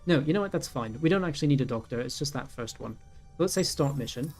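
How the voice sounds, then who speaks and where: A man's voice: fairly high pitch, lively intonation, fast talker. Man in his thirties musing over a game. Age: 30s. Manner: thinking aloud, many ums and self-corrections. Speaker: gaming YouTuber. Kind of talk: casual let's-play commentary. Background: faint game music.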